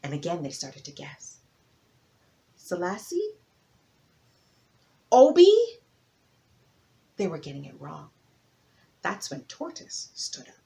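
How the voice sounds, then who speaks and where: A woman narrating a folktale as a storyteller, with theatrical emphasis and dramatic pauses. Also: deep voice, vocal fry.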